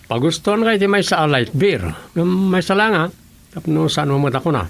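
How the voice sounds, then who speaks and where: A man's voice: fairly high pitch, lively intonation, brisk, vocal fry. A man playing a chummy village brother-in-law in an Ilocano comedy radio drama, with an Ilocano accent.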